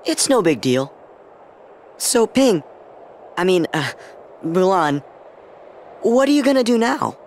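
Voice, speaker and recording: A man's voice: sits high, very animated, takes it slowly, long pauses, a little hiss or hum, exaggerated voice. A young-sounding man playing a friendly teenage boy hero in a video game, informal, earnest and a little hesitant. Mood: reassuring, casual.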